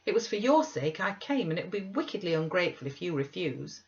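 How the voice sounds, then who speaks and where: A reader voicing an earnest young woman, raised pitch, pleading, audiobook, dull lo-fi recording. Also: very animated, fast.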